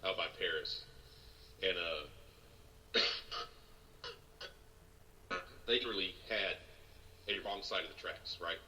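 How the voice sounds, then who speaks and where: A man talking as a guest on a casual podcast, chatty and quick to laugh. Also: low voice, sharp tone, a little hiss or hum, poor audio. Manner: laughs often, loose and chatty.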